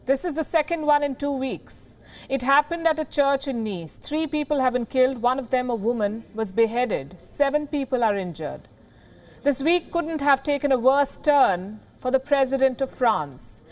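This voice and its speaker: A woman's voice: high voice, lively intonation, normal speed. A woman with an Indian accent reading scripted news as an anchor, emphatic and formal.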